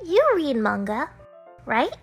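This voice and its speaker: A woman's voice: a little high, big pitch swings, slow pace, faint music behind, exaggerated voice. A woman voicing a touchy, bossy teenage schoolgirl manga fan in a visual novel dub, defensive and huffy. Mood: matter-of-fact.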